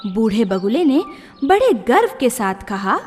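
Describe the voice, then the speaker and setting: A woman storyteller reading scripted children's tales in a deliberate, expressive manner. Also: fairly high pitch. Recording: long reverb, music behind.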